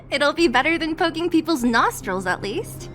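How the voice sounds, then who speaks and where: A woman's voice: high voice, a bit bright. A woman voicing a blunt, scrappy, loyal young woman in a video game, casual and teasing in her talk. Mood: amused. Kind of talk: dramatic video game voice acting.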